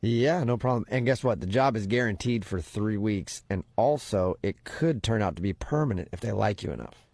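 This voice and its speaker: A man's voice: on the low side, animated, normal speed, darkish tone, no echo. A man playing an upbeat, pushy temp agency recruiter on a prank phone call, talking casually and keeping a straight face. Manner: casual, breezy, straight-faced salesman patter. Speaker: radio prank caller.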